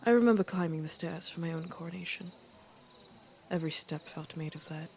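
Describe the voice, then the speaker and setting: Casting: actress as a world-weary, middle-aged queen for fantasy audio drama. Gravelly fry, regal measured delivery, dry fond wit. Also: on the low side, darkish tone, a little hiss or hum, close to an everyday voice. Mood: weary, reflective. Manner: stately, measured, wry.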